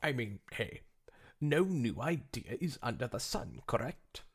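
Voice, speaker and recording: A man voicing a female pony adventurer pitching her own novel in an affected, sophisticated manner. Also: sing-song, measured pace, slightly rough, full character voice. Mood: persuasive, hopeful. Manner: affected, mock-sophisticated, expository. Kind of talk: fan fiction dramatic reading.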